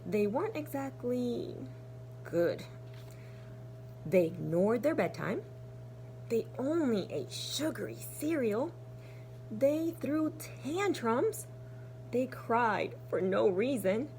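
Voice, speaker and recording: A woman teacher reading to young children in an expressive, deliberate storytelling manner. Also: a little high, slight background noise, poor audio. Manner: animated, deliberate storytelling with hushed, breathy asides. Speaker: kindergarten teacher. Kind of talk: expressive children's storybook read-aloud with asides.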